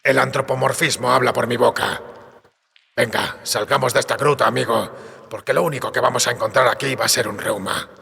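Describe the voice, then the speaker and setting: An actor playing a gruff, bitter, world-weary monster hunter in an audiobook drama, with a dry, terse, serious delivery. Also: male voice, medium pitch, steady tone, a little fast, pushed and bright, exaggerated voice. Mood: serious, resolved. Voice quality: gravelly, husky. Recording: cave-like reverb.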